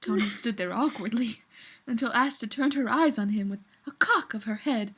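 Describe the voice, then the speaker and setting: A woman's voice: a little high, moderate pace, fairly bright tone, breathy edge. A woman giving a giggly, theatrical, mock-dramatic reading of fan fiction to friends. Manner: theatrical, giggly, often breaking up.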